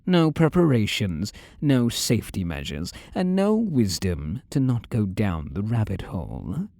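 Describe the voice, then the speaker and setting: Slow, seductive demon lover played by a man. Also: on the low side, very animated, vocal fry, darkish tone, dry recording, clean studio sound, exaggerated voice. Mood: smug, wicked. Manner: slow, commanding, sinister purr, often dropping to whispers. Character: possessive, seductive male demon lover in a human body. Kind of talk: intimate audio roleplay addressed to the listener.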